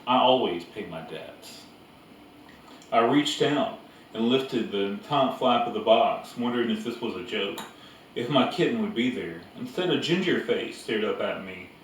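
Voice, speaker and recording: A man reading a story aloud as narrator, with deliberate phrasing and pauses. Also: medium pitch, animated. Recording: echoey room, distant mic, background noise.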